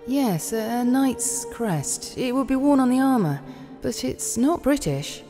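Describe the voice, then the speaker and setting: Hesitant, soft-toned museum curator. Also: female voice, a little high, expressive, soft background music. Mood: helpful. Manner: halting, deferential, explanatory. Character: polite, hesitant, helpful woman museum curator. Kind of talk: audio drama acting. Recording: echoing hall reverb.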